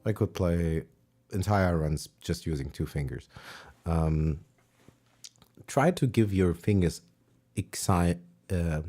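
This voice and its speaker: A man whose first language is German, teaching piano on a livestream, relaxed and pausing to search for words. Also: low-pitched. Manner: relaxed, searching for words, sings and counts along. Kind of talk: livestream music lesson. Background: piano playing.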